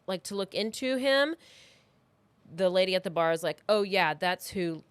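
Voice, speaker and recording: Female podcast co-host, slightly low smooth voice, informal conversational storytelling, close dry sound. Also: animated, moderate pace, no room sound. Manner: informal, loosely scripted, wry asides. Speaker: true crime podcast co-host.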